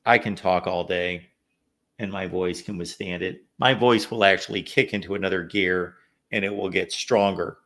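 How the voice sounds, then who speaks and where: Gravelly low-voiced sports talk host. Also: male voice, steady tone, moderate pace, a bit bright. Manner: reads viewer comments aloud, conversational analysis. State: slightly raspy from lack of sleep. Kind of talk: live call-in sports talk.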